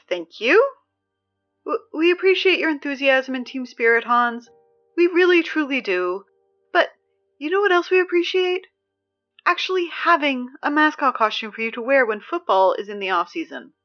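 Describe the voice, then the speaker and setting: A woman's voice: high-pitched, lively intonation, moderate pace, dull audio, faint music behind. An actress playing a teenage girl who reads school announcements over the speakers, dry and exasperated. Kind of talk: audio drama reading school announcements.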